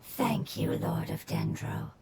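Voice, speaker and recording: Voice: slow, background noise, close to an everyday voice. An actor voicing a solemn, grateful elemental creature that speaks in formal, reverent phrases. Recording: loud music behind the voice. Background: game music.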